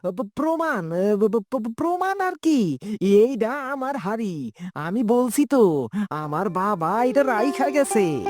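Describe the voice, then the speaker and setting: High, slow greedy villager voiced by a woman. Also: very animated, a little mellow, heavily acted. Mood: flustered. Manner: stammering, insistent, colloquial. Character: greedy, lying male villager claiming what is not theirs. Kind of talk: moral cartoon dialogue.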